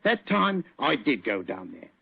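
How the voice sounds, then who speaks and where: An actor playing a deferential, chatty police detective with a working-class London accent and a gravelly, husky voice in an old-time radio drama. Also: male voice, mid-range voice, huge pitch swings, a little slow, no room sound, exaggerated voice. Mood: matter-of-fact storytelling. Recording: dull, band-limited old radio recording.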